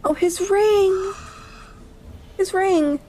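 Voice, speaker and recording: A woman's voice: very high-pitched, drawn-out words, warm tone, slight background noise. A woman giving casual, chatty reaction commentary to game cutscenes, often exclaiming and repeating herself. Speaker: gaming reactor.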